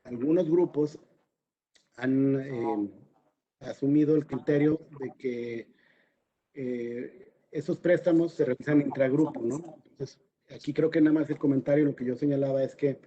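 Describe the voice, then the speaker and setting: A Mexican man speaks in a soft, mellow tone as a panelist taking audience questions. He repeats words as he thinks and often tags his sentences with a short question. The recording is slightly dull and lo-fi. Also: medium pitch, unhurried. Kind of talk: informal legal panel discussion.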